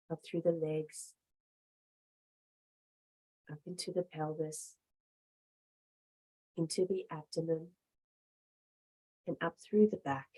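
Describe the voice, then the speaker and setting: Low-voiced woman guiding yoga relaxation. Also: warm tone, rough recording. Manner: measured, instructive, with long pauses between short phrases. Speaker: yoga teacher. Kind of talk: guided relaxation and meditation instruction.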